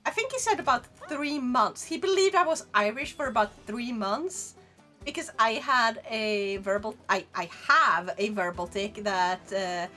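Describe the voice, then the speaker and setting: A woman with a Swedish accent, streaming and chatting casually with her live chat in a rambling, animated way, laughing often. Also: on the high side, a little slow, soft background music. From Sweden.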